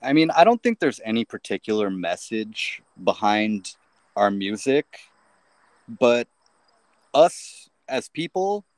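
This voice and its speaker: A man in a band, a guest on a podcast, answering in a relaxed, laid-back way. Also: lowish voice, slow, dry recording. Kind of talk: casual interview chat.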